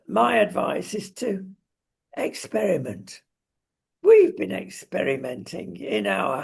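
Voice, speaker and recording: Husky, creaky high-pitched male talk show host. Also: a little mellow. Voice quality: husky, gravelly. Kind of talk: opinionated casual discussion.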